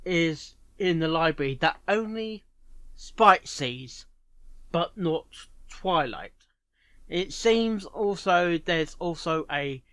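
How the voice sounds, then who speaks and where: A man's voice: fairly high pitch, a bit bright, dry recording. A man hosting a reaction video, musing and speculating aloud in unscripted commentary.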